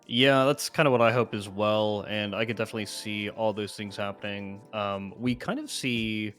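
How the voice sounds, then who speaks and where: A man's voice: lowish voice, fairly even intonation, moderate pace, a bit bright. A man appearing as a guest in a casual gaming chat, talking in an informal, slangy manner. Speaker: guest co-commentator. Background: background music.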